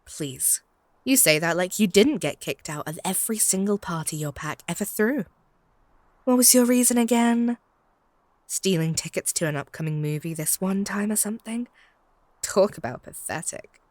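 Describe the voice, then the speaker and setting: A woman's voice: mid-range voice, animated, normal speed, a little mellow, no echo, close to an everyday voice. A woman playing a haughty, possessive, lovestruck vampire in a comedic audio roleplay, snide and theatrical. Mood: scornful. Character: possessive, lovestruck female vampire, bickering and flustered.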